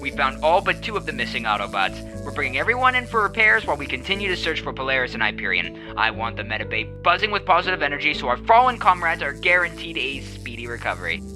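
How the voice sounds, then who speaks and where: A man's voice: fairly high pitch, sing-song, quick pace, hardly any pauses, poor audio. A man playing an earnest, upbeat robot officer making a formal report over the radio in an audio drama. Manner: formal, announcing. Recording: music under the voice.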